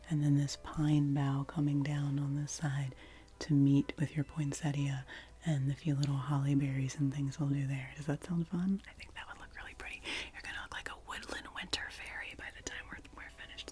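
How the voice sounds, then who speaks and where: A woman whispering softly in an ASMR roleplay as a face painter, chatting gently and meandering as she works. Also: deep voice, breathy edge. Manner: soft, close whispering.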